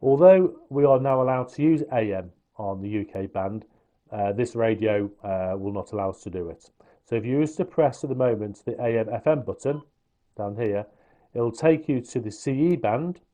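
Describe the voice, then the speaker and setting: A man with a soft-edged, mellow voice talks through a piece of gear in an informal review. He explains each feature plainly and matter-of-factly, in a relaxed tone at a middling pitch. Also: moderate pace. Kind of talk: informal product review and demonstration.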